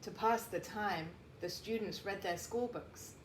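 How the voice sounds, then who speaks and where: Slow, echoey woman reading a storybook aloud. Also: mid-range voice. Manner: deliberate, emphatic phrasing for children. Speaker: teacher reading a picture book aloud. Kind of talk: read-aloud storytelling with explanatory asides. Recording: roomy echo, faint background noise.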